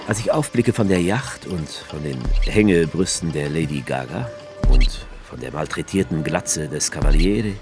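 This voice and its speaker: A deep, dry ironic author-narrator. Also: male voice, expressive, moderate pace, hardly any pauses, over music. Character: male author, ironic, detached, first-person observer. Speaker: narrator-author figure in a radio play. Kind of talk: radio play narration.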